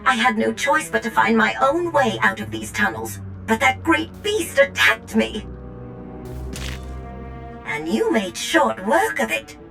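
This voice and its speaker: A woman's voice: fairly high pitch, big pitch swings, unhurried, gravelly, music in the background, exaggerated voice. A woman voicing a frightened female medical droid who begs for help, in a game cutscene. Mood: pleading. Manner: desperate, begging.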